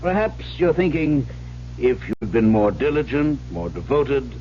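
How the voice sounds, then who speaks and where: An actor playing a coolly insistent, patronizing psychiatrist in a vintage radio drama, pointed and questioning. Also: male voice, mid-range voice, very animated, measured pace, warm tone. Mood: needling. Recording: muffled old radio broadcast with hiss and hum.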